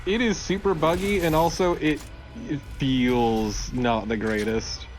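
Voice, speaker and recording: A man's voice: on the high side, steady tone, a little slow, faint music behind, low-quality recording. A man chatting casually as co-commentator on a video game, dry and opinionated. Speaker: let's play co-commentator. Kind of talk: casual gameplay chat.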